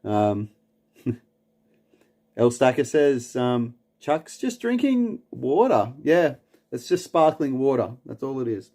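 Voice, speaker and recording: A man with an Australian accent, hosting a late-night livestream and reading chat comments aloud. He rambles in a relaxed way, with lots of "um"s and repeated words, and chuckles often. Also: medium pitch, slow pace. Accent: Australian, from Newcastle. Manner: chuckles often between lines. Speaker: livestream host.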